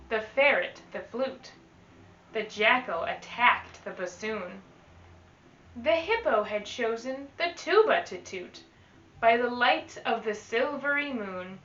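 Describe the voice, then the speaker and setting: A woman with a very bright, clear-cut delivery reads to young children at a very slow, careful pace. She is expressive and instructional, like a teacher at story time. The sound is echoey and a little dull, as if in a live room. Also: fairly high pitch. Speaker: teaching artist reading to children. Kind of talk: slow, enunciated children's read-aloud.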